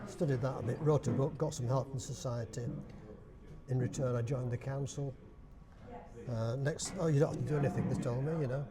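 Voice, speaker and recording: A man's voice: medium pitch, fairly even intonation, a little fast. An older man from Bradford with a Yorkshire accent, chatting and explaining in an interview. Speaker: dialect society chairman, guest. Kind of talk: casual interview.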